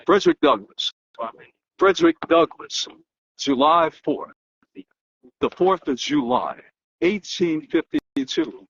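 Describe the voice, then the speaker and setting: A man giving an emphatic, declamatory dramatic reading in the manner of a public orator, with weighty pauses and a husky voice. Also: fairly high pitch, expressive, fairly bright tone. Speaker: political analyst and host. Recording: dull, lo-fi muffled sound.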